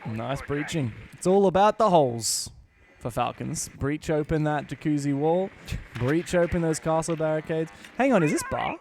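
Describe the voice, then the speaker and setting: A man casting an esports match as play-by-play commentator, laughing often and building to shouted hype on big plays. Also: on the high side, lively intonation, moderate pace, slight background noise. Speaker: esports caster.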